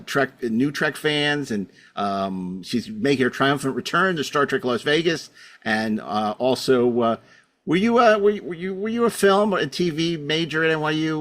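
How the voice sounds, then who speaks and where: A man's voice: medium pitch, fairly bright tone. A middle-aged man hosting a podcast, introducing things casually in a rambling, wry, self-deprecating way. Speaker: podcast host.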